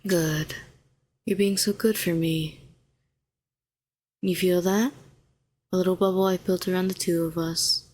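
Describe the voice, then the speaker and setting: A woman's voice: on the low side, close to an everyday voice. A woman playing a telepathic villain, a former hero who is sardonic yet tenderly protective, in an intimate audio roleplay. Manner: sharp and sardonic, turning soothing and coaxing.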